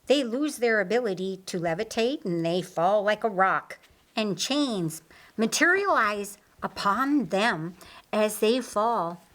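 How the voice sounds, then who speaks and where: A woman's voice: medium pitch, animated, slow, fairly bright tone. A woman voicing an authoritative, loyal male archangel in an audiobook, explaining firmly and earnestly. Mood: grave, explanatory. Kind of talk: audiobook character acting.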